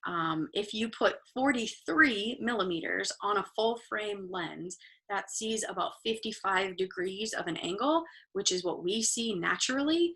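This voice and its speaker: A woman in her thirties giving a live online photography talk, chatty and encouraging with many ums, her voice slightly hoarse, heard over a video call. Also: mid-range voice, sharp tone. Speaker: photography workshop instructor.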